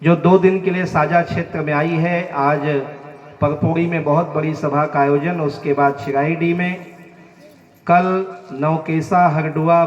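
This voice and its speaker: A man's voice: fairly high pitch, a little mellow. A man from Chhattisgarh with a Chhattisgarhi accent preaching to a village gathering, making earnest, direct appeals to the crowd. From Chhattisgarh, India. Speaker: religious preacher. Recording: echoey, distant, dull sound.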